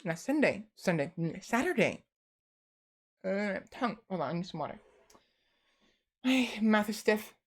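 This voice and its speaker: A woman's voice: medium pitch, very animated, unhurried, long pauses. A young woman streams from her desk, tired and chatting freely with her viewers. She rambles, restarts her sentences and leans on fillers as she talks. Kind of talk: casual chat to stream viewers.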